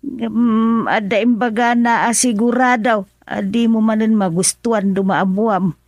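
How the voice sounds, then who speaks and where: A woman's voice: on the high side, fairly even intonation, a little slow, no room sound. A woman with an Ilocano accent playing a worried middle-aged mother in a radio drama, pleading and anxious. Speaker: mother character.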